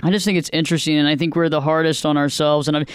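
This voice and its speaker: A man's voice: fairly high pitch, flat delivery, racing, smooth, no room sound, clean studio sound. A man hosting a conversation, a therapist who talks earnestly and emphatically, acting out inner voices. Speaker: podcast host and therapist. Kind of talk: casual conversation.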